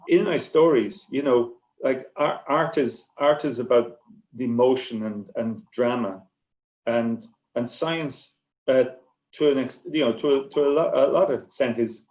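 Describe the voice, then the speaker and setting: A man answering as a writer on a literary panel, speaking haltingly with many repeated words and restarts. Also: mid-range voice, a little mellow. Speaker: short story writer on a festival panel. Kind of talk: rambling panel discussion answer. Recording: echoey, roomy sound.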